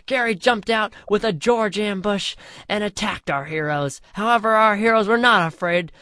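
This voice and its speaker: A storyteller giving a comedic reading of a crude parody fan story in a mock-epic way, shouting the dialogue and dropping low for the asides. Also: normal speed, a little hiss or hum.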